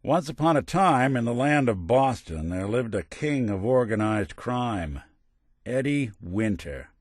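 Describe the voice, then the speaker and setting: An actor playing a world-weary, wisecracking robot private detective in a video game, with an American accent and a wry, streetwise gumshoe delivery. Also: male voice, medium pitch, very animated, measured pace, dry recording, studio quality. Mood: grim storytelling. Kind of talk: scripted game dialogue in a hardboiled noir detective manner.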